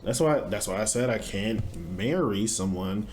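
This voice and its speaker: A man's voice: lowish voice, lively intonation, moderate pace, noisy background. A young man hosting a reaction video, talking bluntly and profanely in casual commentary.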